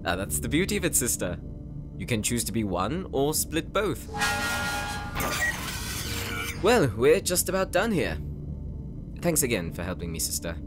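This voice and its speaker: An actor playing an earnest, insecure young knight in an audio roleplay, speaking gently and hesitantly, as if confiding in his sister. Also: mid-range voice, very animated, normal speed, over music, close to an everyday voice. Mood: gentle. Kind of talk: audio roleplay drama, intimate monologue.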